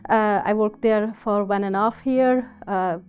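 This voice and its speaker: A woman in her forties from Afghanistan with an Afghan accent gives a personal interview account in hesitant, halting English. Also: mid-range voice, darkish tone, smooth, faint music behind. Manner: hesitant, halting phrasing in non-native English. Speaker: interviewee, university researcher and women's refugee supporter.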